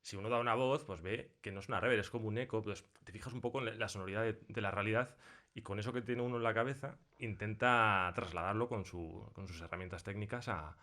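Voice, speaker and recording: Male interview guest, Spain accent, fairly low voice, explains technical things in thoughtful, halting phrases. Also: sharp tone. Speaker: sound designer being interviewed.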